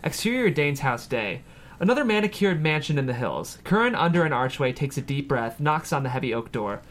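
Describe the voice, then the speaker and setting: A man's voice: on the high side, sing-song, a little fast, fairly bright tone. A man reading screenplay stage directions aloud at a table read, with dramatic scripted narration.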